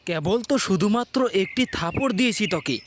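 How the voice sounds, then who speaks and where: A man's voice: high voice, animated, brisk, very noisy. A man voicing a cheeky, put-upon male fox in a cartoon, complaining in colloquial, repetitive phrases. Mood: irritated, scolding. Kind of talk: cartoon character acting.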